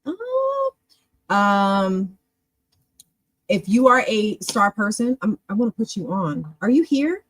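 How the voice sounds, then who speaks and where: A woman hosting an online club stream, chatting casually in a loose, easygoing way with a husky voice. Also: mid-range voice, sing-song, takes it slowly, no echo, rough recording. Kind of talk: casual livestream talk.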